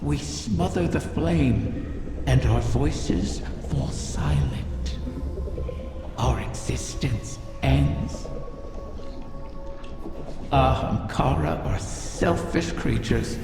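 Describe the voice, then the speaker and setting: Ancient cunning dragon, gravelly, solemn game monologue, cavernous reverb, loud music. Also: male voice, mid-range voice. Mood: grave, mournful. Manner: slow, deliberate, solemn storytelling. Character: ancient cunning wish-granting dragon speaking in whispery, mournful riddles. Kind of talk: dramatic scripted game monologue. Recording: echoing hall reverb, loud music behind.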